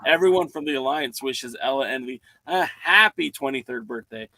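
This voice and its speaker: A man's voice: mid-range voice, expressive, moderate pace, sharp tone, rough recording. A man hosting a casual podcast, steering the conversation and moving it on to the next topic. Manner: steers the talk, moves topics along. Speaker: podcast host.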